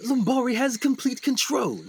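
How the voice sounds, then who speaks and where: A man's voice: very high-pitched, animated, normal speed, gravelly, slight background noise, heavily acted. An actor playing an earnest, haunted male explorer-doctor held in the jungle, in a dramatic, intense radio play style. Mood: urgent, alarmed. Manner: intense, dramatic, urgent questioning.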